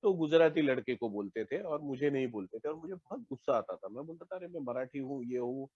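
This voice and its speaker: A Marathi man from Maharashtra, giving a candid, reflective personal testimony at an online support meeting, with hesitant pauses and restarts. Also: mid-range voice, lively intonation, husky, no echo, dull audio. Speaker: recovering member sharing at a support meeting.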